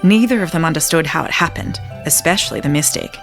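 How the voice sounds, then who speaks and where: A woman's voice: low-pitched, fast. A woman doing an Australian-accented talking poodle. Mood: deadpan narration. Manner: dry storyteller, groggy and bewildered. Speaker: narrator and dog character. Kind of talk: comedic audio drama narration. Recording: music bed behind the voice.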